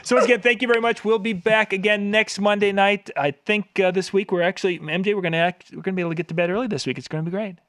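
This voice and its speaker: A man's voice: high voice, steady tone, very fast, hardly any pauses, fairly bright tone, no echo. A man hosting a live show, wrapping up in an easygoing, upbeat way. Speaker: host. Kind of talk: casual show wrap-up.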